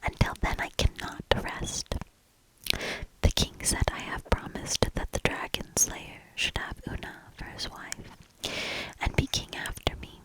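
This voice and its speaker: A woman doing soft ASMR storybook reading and quiet commentary in a breathy, gentle, hushed whisper. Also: poor audio. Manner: slow, gentle, hushed delivery.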